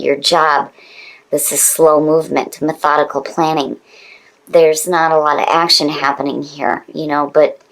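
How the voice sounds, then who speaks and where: Female tarot reader, low pitch, casual conversational delivery, informal and emphatic. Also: rough recording.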